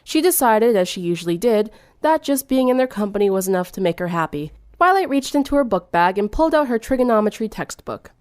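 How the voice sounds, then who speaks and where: A woman's voice: medium pitch, big pitch swings, brisk, a little mellow, no echo, clean studio sound. A woman reading fan fiction aloud as scripted third-person narration.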